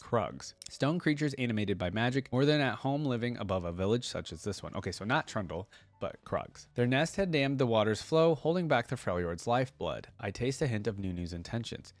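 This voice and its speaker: A young man with a fairly low voice, recorded close and dry. He reads story text aloud and drops in informal asides as a reaction host. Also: dry recording. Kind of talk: reading a story aloud with casual commentary.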